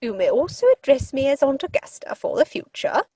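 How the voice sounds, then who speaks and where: A woman playing an imperious older aristocratic English aunt in a comic stage-play reading, with pompous, deliberate delivery. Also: high voice, sing-song, darkish tone, no room sound, studio quality.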